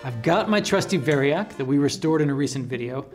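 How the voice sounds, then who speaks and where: Higher-voiced man presenting a DIY build. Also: lively intonation, a little fast, soft background music. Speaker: host of an electronics build channel. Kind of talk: scripted presenting to camera, explaining a DIY build. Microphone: lapel microphone.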